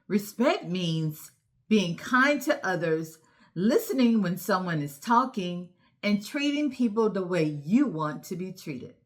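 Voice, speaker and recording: A woman hosting a children's storytime lesson, encouraging and instructive, speaking directly to young children with emphatic, deliberate enunciation. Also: medium pitch, pushed and bright. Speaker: children's read-aloud host and teacher.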